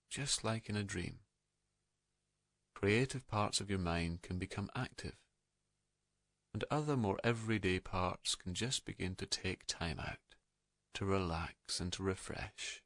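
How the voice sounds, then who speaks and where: A man narrating a guided meditation in a calm, gentle, soothing manner, leaving unhurried pauses between soft phrases. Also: low-pitched, no room sound, studio quality.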